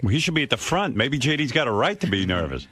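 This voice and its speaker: A man's voice: mid-range voice, very animated, fast, noisy background. A man hosting a radio talk show, blunt and needling as he grills a staffer. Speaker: radio host. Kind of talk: casual radio chat.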